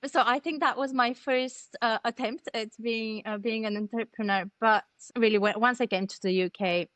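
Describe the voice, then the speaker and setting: A woman's voice: a little high, steady tone, normal speed, a bit bright. A woman in her thirties from Bulgaria, a native Bulgarian speaker, explaining things articulately as an interview guest on a video call. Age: 30s. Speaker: interview guest, startup co-founder. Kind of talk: conversational explanation. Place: plain room at home, video call.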